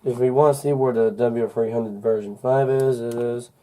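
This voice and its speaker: A young man recovering from a cold, narrating a casual unboxing in a halting, unscripted way, thinking aloud as he goes. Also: mid-range voice, fairly even intonation, dark tone. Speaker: hobbyist vlogger. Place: indoors at home.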